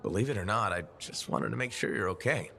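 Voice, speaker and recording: Easygoing, lively game hero, dryly sarcastic. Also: male voice, fairly low pitch, fast, creaky, exaggerated voice. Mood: friendly, teasing. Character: young-adult male freelance mercenary hero, easygoing, dryly sarcastic. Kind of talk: video game dialogue acting.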